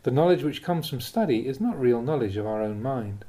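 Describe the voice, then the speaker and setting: A man reading Buddhist teachings aloud in a measured, deliberate way with emphatic pauses. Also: medium pitch, lively intonation, dark tone. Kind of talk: read-aloud spiritual teaching.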